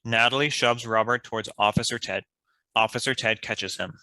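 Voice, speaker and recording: A man's voice: mid-range voice, fairly even intonation, moderate pace, sharp tone, rough recording. A man reading stage directions as scripted narration for a table read.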